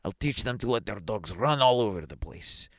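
A man's voice: mid-range voice, very animated, unhurried, no echo. Man putting on a pompous, blustering police superintendent. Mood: stern, threatening. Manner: pompous, barking orders and questions. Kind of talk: dramatised short story reading.

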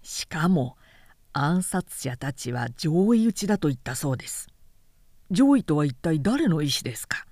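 A woman voicing an outspoken, indignant samurai man in a period-novel audiobook, forceful and insistent in formal speech. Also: low voice, big pitch swings, measured pace, no room sound, clean studio sound, full character voice. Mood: bitterly indignant.

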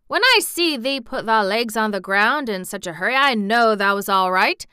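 Grumpy old Yorkshire countryman played by a woman, dry-witted and tender, crisp storybook delivery. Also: a little high, animated, a little slow, sharp tone, no room sound, pitched above the performer's own voice. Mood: gruff exultation. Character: crabbed, dry-humoured old Yorkshire gardener, stubborn but tender.

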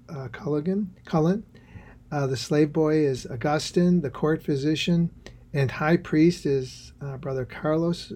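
A man hosts with an informal introduction. His voice is mellow and slightly high, and his phrasing is halting and unhurried. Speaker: host introducing a radio play.

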